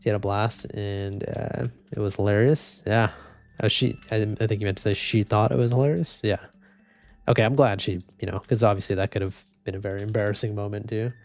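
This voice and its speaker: A young man casually chatting with viewers while playing a game, speaking in a flat, low-energy way with a slightly congested voice. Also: fairly quick. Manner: flat, low-energy, self-described boring voice. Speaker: streamer reading chat. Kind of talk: casual gaming livestream chat. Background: faint game music and keyboard typing.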